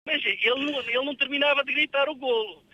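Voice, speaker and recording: Animated high-pitched Portuguese man, very bright. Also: quick pace, no room sound, lo-fi sound. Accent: European Portuguese. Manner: colloquial, excitable, acts out dialogue. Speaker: radio show guest, seafarer. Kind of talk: animated anecdote telling in casual chat.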